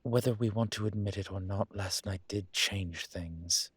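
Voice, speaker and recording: Low, husky flirtatious incubus professor played by a man. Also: normal speed, no echo. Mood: wry, reflective. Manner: teasing, playful, breathy asides. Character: flirtatious, wry male immortal incubus demon professor. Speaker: voice actor in an audio roleplay. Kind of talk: intimate audio drama roleplay, conversational.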